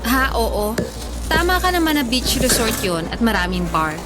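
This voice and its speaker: A woman's voice: on the high side, sing-song, normal speed. A woman playing a touchy, whiny thirty-year-old woman friend in Tagalog radio drama dialogue. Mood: defensive, insistent. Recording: music bed under the voice.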